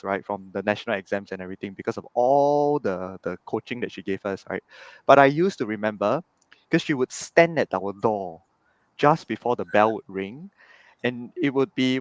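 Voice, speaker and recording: A man, chatting as a guest on a video call, animated and tagging his sentences with "right?". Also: mid-range voice, dry recording, low-quality recording. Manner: animated, frequent "right?" tags. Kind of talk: casual storytelling in an interview. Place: home room on video call.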